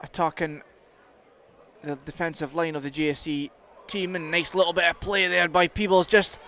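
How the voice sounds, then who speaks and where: A man's voice: on the high side, fairly even intonation, normal speed, bright, forceful tone. A Scottish man giving live play-by-play commentary on a rugby match. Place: commentary box at a rugby ground. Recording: outdoor ground with clear background noise.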